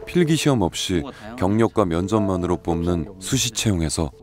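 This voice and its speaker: A man with a very low voice reads documentary narration, calm and measured. Clear music plays under his words.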